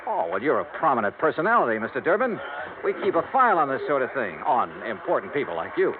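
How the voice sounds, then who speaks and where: An actor playing a hardboiled insurance investigator, narrating and talking in a dry, sardonic, laconic way. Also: male voice, medium pitch, big pitch swings, quick pace, noisy background, close to an everyday voice. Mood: sly. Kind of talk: 1950s radio drama narration and dialogue. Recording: tinny 1950s radio with music.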